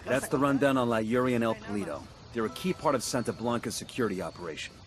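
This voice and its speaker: An actor playing a resolute special forces squad leader in a video game, clipped and businesslike. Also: male voice, mid-range voice, quick pace, a crowd in the background, natural voice. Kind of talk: video game military dialogue. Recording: game music behind.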